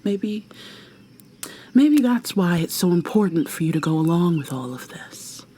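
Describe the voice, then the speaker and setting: A woman playing an obsessive, coldly possessive killer in an intimate ASMR roleplay, soft and often whispery, with a deliberate, mock-sweet, threatening manner. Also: lowish voice, very animated, slight background noise. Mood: possessive threat. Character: obsessive, menacing female serial killer lover, coldly possessive.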